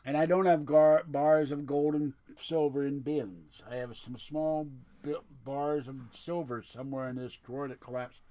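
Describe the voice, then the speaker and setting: A man's voice: fairly high pitch, fairly even intonation, normal speed, mellow, no room sound. A man with a lisp chatting casually and rambling to viewers on a livestream, with a digressive, grumbling manner. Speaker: livestream host.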